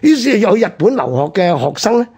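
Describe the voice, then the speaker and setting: A man with a Hong Kong Cantonese accent telling history conversationally as a radio host, explaining point by point in a husky, rough-edged voice. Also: high voice, big pitch swings, fast talker, dark tone.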